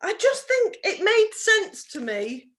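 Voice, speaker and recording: A woman's voice: extremely high pitch, very animated, slow pace, fairly bright tone. A woman chatting as a podcast co-host, earnest and giggly, rambling through her explanations. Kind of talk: casual chat.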